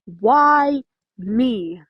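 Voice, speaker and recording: Casting: woman as bitter teen misfit boy for fan story audio; emotional, confrontational, higher register, dull dry sound. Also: animated, drawn-out words, no room sound, full character voice. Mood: hurt, defensive. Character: brooding, defensive misfit teenage boy, son of Hades.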